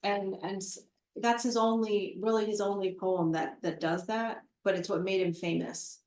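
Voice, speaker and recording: Woman guest at a live book talk, mid-pitched, thoughtful and halting, echoey room. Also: steady tone, darkish tone. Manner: thoughtful and halting, with many fillers and restarts. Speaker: literary translator, interview guest. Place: event room at a literary center. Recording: roomy echo, voice from far off the mic.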